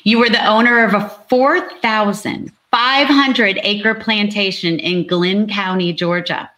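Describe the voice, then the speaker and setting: A woman's voice: medium pitch, fairly even intonation, unhurried, sharp tone. A woman co-hosting a live book talk, switching between chatting and reading aloud. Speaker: author and co-host reading out character fates.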